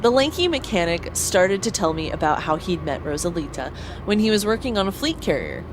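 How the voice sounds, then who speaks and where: A woman's voice: fairly low pitch, very animated, brisk, background noise. A woman playing an earnest young space pilot who narrates her own story in an audio drama. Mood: reflective narration. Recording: clear vehicle ambience behind voice.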